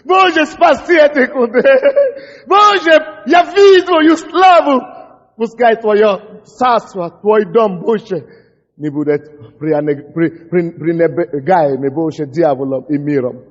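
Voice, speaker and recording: A male pastor whose first language is English, preaching a fiery sermon with impassioned, shouted prayers and frequent stumbles over words. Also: high-pitched, animated, takes it slowly. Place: church hall. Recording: dull recording in a large echoing hall.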